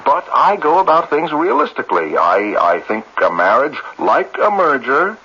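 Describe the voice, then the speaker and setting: Stiff, bright-voiced, pedantic tycoon. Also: male voice, on the high side, wildly swooping pitch, normal speed, full character voice. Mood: earnest, stiff. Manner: formal, pedantic, hesitant stammer. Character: stiff, coldly rational wealthy businessman proposing marriage. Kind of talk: 1970s radio drama acting. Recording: dull, faintly noisy old radio recording.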